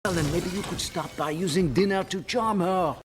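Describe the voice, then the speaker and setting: An actor playing a suave enchanted household servant in film dialogue, earnest, persuasive and theatrical. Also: male voice, sits high, normal speed, breathy edge.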